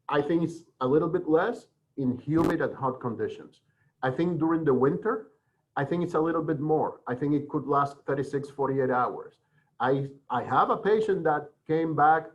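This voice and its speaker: Man, dull call audio. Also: a little high. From Dominican Republic. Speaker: forensic pathologist, guest speaker. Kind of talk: explanatory webinar talk. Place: online video call.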